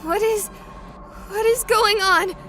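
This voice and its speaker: A woman's voice: very high-pitched, takes it slowly, a bit bright, slight background noise, exaggerated voice. Sarcastic young streamer girl. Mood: skeptical. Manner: sarcastic, flustered. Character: young woman streamer, skeptical and rattled. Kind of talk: visual novel voice acting.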